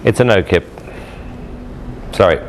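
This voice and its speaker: A man's voice: deep voice, unhurried, slight background noise, low-quality recording. A man working as a talent show judge, giving blunt, dry, matter-of-fact critiques to contestants.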